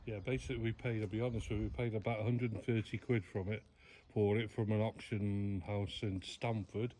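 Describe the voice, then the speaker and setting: A man's voice: fairly low pitch, flat delivery, a little fast, dark tone. A man with an English accent explaining things casually in an informal, matter-of-fact and slightly hesitant way. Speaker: restorer explaining an old machine. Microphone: camera held by another person a short way off. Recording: background noise, handheld camera sound.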